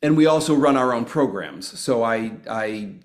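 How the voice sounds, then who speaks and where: A man's voice: medium pitch, lively intonation, moderate pace. A man introducing himself on a video call as a full-time speaker and author, rambling with frequent restarts.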